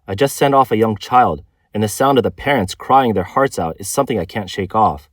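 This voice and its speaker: A man narrates a news report in a low-pitched voice. The delivery is scripted, and the sound is close and dry with almost no room on it. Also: fairly quick, dry recording. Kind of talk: scripted news narration, reading translated accounts.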